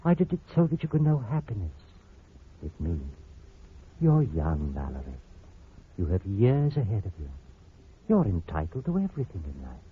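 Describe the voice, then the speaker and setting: An actor playing a smooth, manipulative doctor in a 1940s radio drama, with a theatrical, coaxing delivery. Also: male voice, on the low side, huge pitch swings, normal speed, long pauses, heavily acted. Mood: pleading, ardent. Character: smooth, scheming, manipulative male doctor, secretly menacing. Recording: muffled, noisy vintage radio recording.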